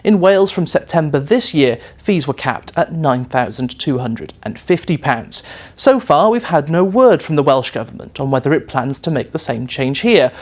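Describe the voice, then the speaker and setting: A man reporting a news explainer in a clear, measured newsreader delivery. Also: fairly high pitch. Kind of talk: scripted news explainer narration.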